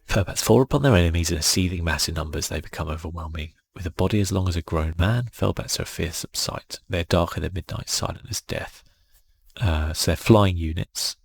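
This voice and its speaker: A man giving soft-spoken ASMR commentary on a video game in a murmured, laid-back way, as if thinking aloud. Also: deep voice, brisk, gravelly, husky. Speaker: gaming channel host. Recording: faint music behind.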